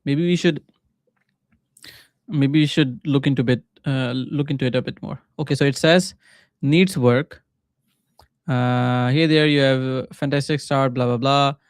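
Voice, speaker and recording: Male coding streamer, Pakistani accent, Urdu native. Clean, resonant mid-range voice, dry sound, informal halting think-aloud delivery. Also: mid-range voice, fairly even intonation, no echo. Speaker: livestreaming coder and mentor. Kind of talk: casual live coding commentary.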